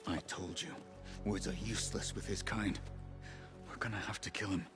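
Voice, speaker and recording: A man acting a gruff, blunt, impatient warrior in a video game, with a curt, sardonic manner. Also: on the low side, steady tone, moderate pace, lightly acted. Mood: grim, impatient. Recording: music behind the voice.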